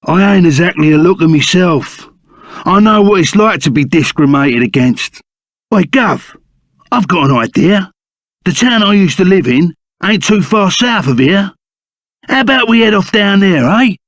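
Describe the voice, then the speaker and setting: A gruff Cockney ex-bandit sidekick from a video game. The voice is gravelly and pitched on the high side, recorded close and dry. He blusters along in matey street slang, rough but loyal. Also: animated, normal speed, no room sound. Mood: matey, chipper. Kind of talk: video game character acting.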